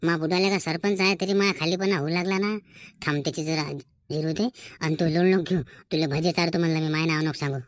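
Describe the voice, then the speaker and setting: A man's voice: a little high, steady tone, fast, dry recording, studio quality, lightly acted. Man doing a sly Varhadi village schoolboy. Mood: wheedling. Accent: Varhadi Marathi. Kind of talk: cartoon comedy dialogue.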